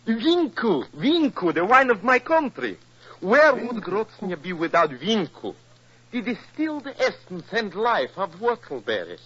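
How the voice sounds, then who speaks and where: Effusive high-voiced foreign émigré. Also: male voice, sing-song, a little slow, sharp tone, breathy edge, heavily acted. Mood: effusive, genial. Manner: effusive, flowery. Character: genial, proud foreign émigré from fictional Balkan-style country. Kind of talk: 1940s radio acting. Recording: muffled vintage radio recording.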